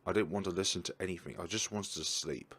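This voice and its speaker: A man's voice: sits low, steady tone, quick pace, a bit bright, husky. A man reading a visual novel's text aloud, stumbling and correcting himself, with casual asides about the game. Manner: stumbling reads with self-corrections.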